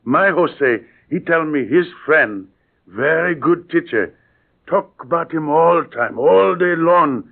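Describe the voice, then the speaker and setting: Halting Puerto Rican immigrant father, old radio drama. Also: on the high side, lively intonation. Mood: proud. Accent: Spanish Puerto Rican. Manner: halting broken English with Spanish interjections. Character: friendly, humble Puerto Rican immigrant father, eager and proud. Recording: tinny, band-limited old radio broadcast.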